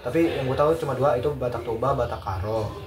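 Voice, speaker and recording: A teenage boy from North Sumatra chatting casually with friends, often pausing to search for words. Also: mid-range voice, distant mic. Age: teens. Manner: hesitant, searching for words, slangy. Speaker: student. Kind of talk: casual chat. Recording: echoey room with faint noise.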